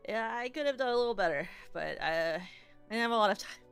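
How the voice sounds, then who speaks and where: A woman's voice: a little high, expressive, fast talker, a bit bright, smooth, soft background music. A young adult woman streamer in casual, rambling livestream chat, playfully teasing her viewers.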